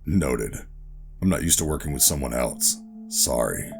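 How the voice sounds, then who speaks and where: An actor playing a gruff, guarded covert operative who narrates a sci-fi horror audio drama in a brooding, deliberate way. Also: male voice, extremely low pitch, animated, full character voice. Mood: guarded, dry. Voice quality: gravelly. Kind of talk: first-person scripted audio drama narration and dialogue.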